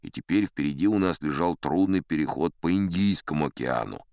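A boastful old sea captain in an audiobook drama, spins his tall tales in a low-pitched voice. His delivery swings expressively up and down, wry and self-important, with dramatic pauses. The sound is close and dry. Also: animated, a little mellow, no room sound.

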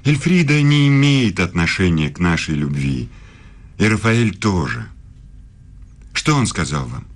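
An actor playing a self-assured, evasive professor and secret lover in a radio play, speaking formally and pedantically. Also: male voice, deep voice, sing-song, a little slow, long pauses, full character voice. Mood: probing, jealous.

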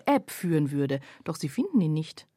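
A reflective woman narrating a literary radio play. Her voice is low and mellow with a husky edge. She speaks deliberately, as if observing a huge painting. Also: sing-song, dry recording, clean studio sound, full character voice. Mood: observing, wry. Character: pensive female first-person narrator viewing a panorama.

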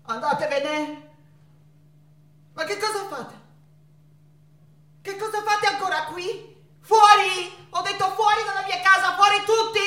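An actress playing an anguished, exhausted painter possessively in love, in a dramatic, repetitive theatrical stage monologue. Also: female voice, high voice, bright, forceful tone, full character voice. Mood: frantic, commanding. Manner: dramatic, repetitive, swinging from cries to murmurs. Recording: echoey stage, distant microphone.

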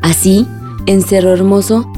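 A woman as a radio serial narrator, lyrical and solemn. Her mid-pitched voice reads poetic lines over prominent music. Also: steady tone, measured pace, dark tone, close to an everyday voice. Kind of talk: scripted narration.

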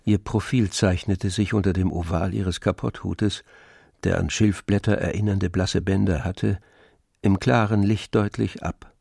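A man narrating a literary radio drama in a measured, precise storytelling manner. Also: low-pitched, steady tone, gravelly, darkish tone, slightly rough, dry recording, clean studio sound, exaggerated voice. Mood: composed. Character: composed male literary narrator of a novel. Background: faint music with strings.

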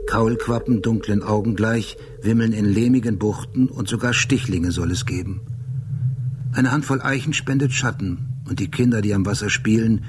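An actor playing a detached, sober storyteller in a radio drama, narrating in a measured, precise, descriptive way. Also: male voice, on the low side, noisy background, lightly acted. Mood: calm, observant.

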